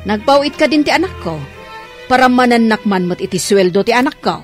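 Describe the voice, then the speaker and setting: A woman's voice: a little high, animated, brisk, faint music behind, natural voice. A woman playing a greedy older mother-in-law in an Ilocano radio drama, nagging and exclaiming indignantly. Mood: demanding, indignant.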